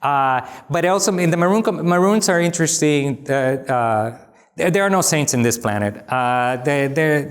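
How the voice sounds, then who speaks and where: A man from the Republic, answering audience questions after a talk in an animated, emphatic way with wry asides. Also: fairly high pitch, normal speed. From Dominican Republic. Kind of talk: lecture Q&A, conversational.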